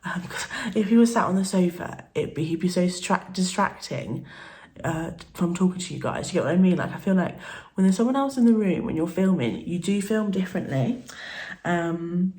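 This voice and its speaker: A woman from the UK in her thirties, a beauty vlogger chatting casually while doing her makeup at home, her voice croaky from the early morning, rambling with soft laughs and plenty of fillers. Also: lowish voice, expressive, normal speed. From United Kingdom. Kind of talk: casual get-ready-with-me chat.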